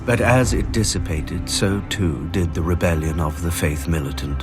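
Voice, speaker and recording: A man narrating fantasy history in a grave, measured storytelling manner. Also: low-pitched. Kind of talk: scripted lore narration. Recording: music behind the voice.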